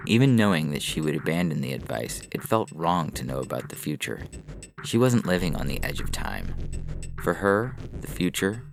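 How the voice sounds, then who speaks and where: A man with a gravelly, creaky voice narrating a scripted science fiction story. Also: rumbling low, sing-song, moderate pace, faint music behind. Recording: clear background noise with clicks.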